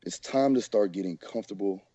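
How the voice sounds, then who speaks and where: A man co-hosting a podcast as a strength coach, his voice a little hoarse, talking in a laid-back way with lots of fillers. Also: fairly low pitch, brisk, dark tone, no echo. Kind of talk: casual podcast chat.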